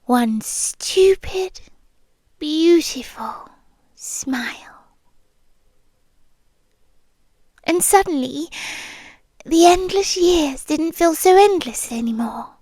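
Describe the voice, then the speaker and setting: Casting: woman to play possessive British vampire girlfriend for ASMR roleplay. High, hushed, breathy voice, very slow delivery, lovesick and ancient. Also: lively intonation, no room sound, full character voice. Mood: tender, obsessive. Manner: hushed, breathy, slow and lingering, often whispering. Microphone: close microphone, dry.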